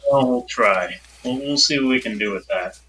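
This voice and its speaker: A man with a strikingly bright, tense voice chats his way through a tabletop game. He talks in fits and starts, hedging and restarting, and makes fun of his own dice luck. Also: medium pitch, moderate pace, slight background noise, rough recording. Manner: hesitant, self-deprecating. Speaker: player. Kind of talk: casual game chat.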